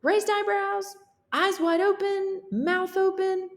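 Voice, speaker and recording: A woman's voice: very high voice, steady tone, takes it slowly, roomy sound, pitched above the performer's own voice, exaggerated voice. A woman voicing an eager, chirpy character from a children's picture book. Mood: animated.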